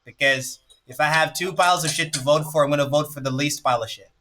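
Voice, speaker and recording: An excitable man from Jamaica talks on a live stream, his voice bright and fairly high. He tends to repeat himself. Faint music plays underneath. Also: fast talker. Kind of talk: casual live-stream chat.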